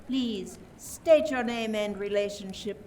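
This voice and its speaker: A woman playing a suspicious, politely condescending French police inspector in an amateur radio play, deliberate and theatrical. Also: medium pitch, darkish tone, lightly acted. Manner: deliberate, theatrical, repeats words for emphasis. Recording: distant mic, faint noise, room ambience.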